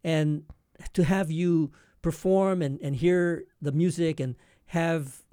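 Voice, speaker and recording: A male radio host with a fairly high, mellow voice, recorded close and dry. He talks conversationally, feeling his way haltingly toward each question. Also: steady tone, smooth, no room sound. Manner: halting, searching for words.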